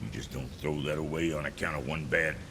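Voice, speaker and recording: A man playing a gruff, proud dwarf blacksmith in a video game, blunt and folksy with ungrammatical speech. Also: a bass voice, steady tone, brisk, sharp tone, slight background noise, full character voice. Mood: defensive, irritated. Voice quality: gravelly. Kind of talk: video game character acting.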